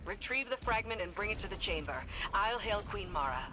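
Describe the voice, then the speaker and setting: A woman playing a brisk, commanding soldier who gives terse battlefield orders in a video game. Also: medium pitch, animated, lightly acted. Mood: urgent, commanding. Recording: noisy game capture with background ambience.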